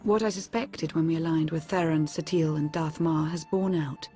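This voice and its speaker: An actress playing a composed, earnest female advisor in a space saga game, with formal, measured speech. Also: deep voice, steady tone, dark tone, faint music behind, close to an everyday voice. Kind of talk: scripted game cutscene acting.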